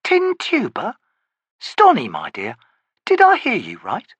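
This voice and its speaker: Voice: mid-range voice, wildly swooping pitch, slow, a bit bright, slightly rough, no echo, pitched above the performer's own voice, heavily acted. A narrator voicing a sarcastic, teasing man who needles a woman. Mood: mocking. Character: mocking, teasing man with bushy brows.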